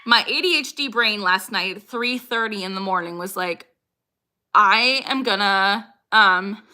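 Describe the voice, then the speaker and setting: A woman's voice: mid-range voice, unhurried, sharp tone. A young woman chatting casually on a livestream and answering viewers' questions. She is upbeat and laughs often, and her sentences are broken by sudden vocal tics.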